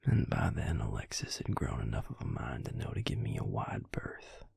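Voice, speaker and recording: A man playing a brooding, protective vampire lover in an intimate audio roleplay, whispering slowly and haltingly with a breathy, gravelly voice. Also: a bass voice, very animated, a little mellow, no room sound, heavily acted. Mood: bitter, confiding. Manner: slow, halting delivery with pauses.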